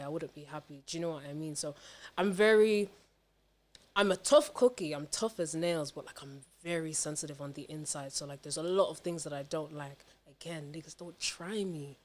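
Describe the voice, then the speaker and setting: A woman's voice: low voice, brisk, a little mellow. A young woman talking casually and candidly as a podcast guest, emphatic, slangy and sweary. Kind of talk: casual personal chat.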